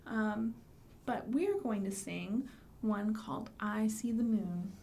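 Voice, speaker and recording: A woman's voice: mid-range voice, takes it slowly, creaky, mellow, slight background noise. A woman hosting a children's storytime, explaining books and rhymes simply for young listeners. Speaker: children's librarian, storytime host.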